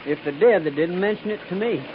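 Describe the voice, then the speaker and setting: An actor playing a wry, easygoing frontier cavalry corporal in a 1950s radio western, with folksy, ungrammatical talk. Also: male voice, high voice, a little fast, lightly acted. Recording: muffled, hissy 1950s radio recording.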